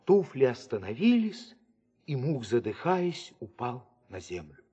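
A man narrating a scripted fairy tale in an expressive, measured storytelling manner. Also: mid-range voice. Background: music.

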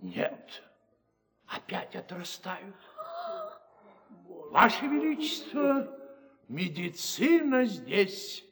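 Man doing a pompous court doctor, high and swooping, slow, with long reverb. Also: sing-song, gravelly, a crowd in the background, exaggerated voice.

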